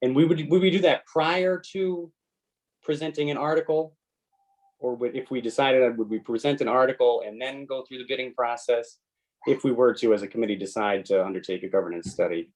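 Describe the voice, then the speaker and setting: A man's voice: mid-range voice, steady tone, a little fast, a little mellow, low-quality recording. A man on a committee, talking in loose, rambling asides and reading an email aloud at a meeting. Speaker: committee member. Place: public meeting room.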